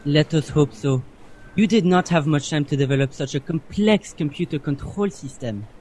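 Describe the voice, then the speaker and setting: Casting: man as earnest scientist, lighter high male voice, formal delivery, radio drama, faint background noise. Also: moderate pace, a little mellow, close to an everyday voice. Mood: grave. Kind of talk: scripted sci-fi radio play.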